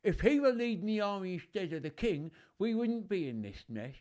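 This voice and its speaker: A man voicing a bitter, gruff old soldier on guard duty who barks and grumbles. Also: high voice, big pitch swings, normal speed, darkish tone, voice pushed up. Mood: gruff, grumbling. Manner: growling, grumbling, barking.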